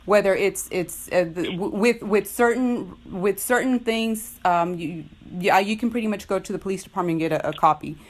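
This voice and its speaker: A woman's voice: medium pitch, normal speed, few pauses. A middle-aged woman on a phone call, firm and insistent, repeating herself as she argues her case as a parent. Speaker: parent making a complaint. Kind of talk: tense phone conversation, disputing.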